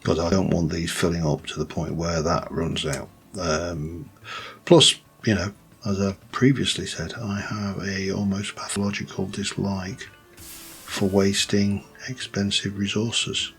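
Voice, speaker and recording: A man with a light Yorkshire accent, rambling through casual gameplay commentary with many pauses and restarts. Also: sits low, fairly even intonation, vocal fry, a bit bright. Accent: light Yorkshire English. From Yorkshire, England. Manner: rambling, thinks aloud with many ums and restarts. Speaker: let's play host.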